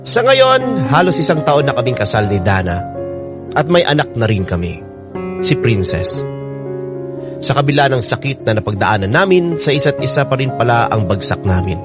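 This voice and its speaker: A man's voice: mid-range voice, very animated, moderate pace, music in the background, natural voice. An actor playing a contented young husband with a Filipino accent, narrating his love story for a radio drama. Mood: contented, grateful.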